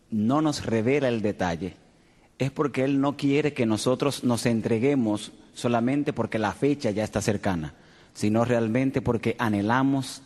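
A man's voice: mid-range voice, darkish tone. A man from the Dominican Republic, a pastor, speaking fervently on a panel. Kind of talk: panel discussion. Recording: long hall reverb.

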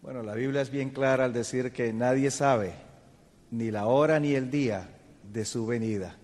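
Measured Colombian-born pastor. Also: male voice, mid-range voice, darkish tone. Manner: measured, explanatory. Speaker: pastor and theology professor. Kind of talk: panel discussion. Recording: long hall reverb.

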